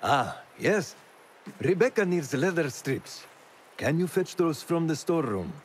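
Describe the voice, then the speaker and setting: A patient, kindly assassin speaks slowly in a fairly high, expressive voice. His manner is gentle and advisory, as in a video game scene, with faint noise behind. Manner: gentle, unhurried. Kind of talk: scripted video game cutscene acting.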